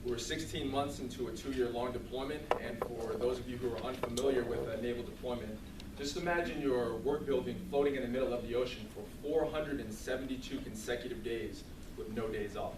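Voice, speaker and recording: A man giving a humorous storytelling speech to an audience in a theatrical, deliberate comic manner. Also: mid-range voice. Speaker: speech contest contestant. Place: room with an audience. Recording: roomy, distant mic, clearly noisy.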